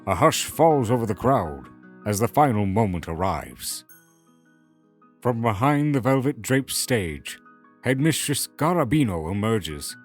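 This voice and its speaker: A man's voice: on the low side, sing-song, a little slow, faint music behind. A man narrating a scripted fantasy story in a dramatic storytelling style.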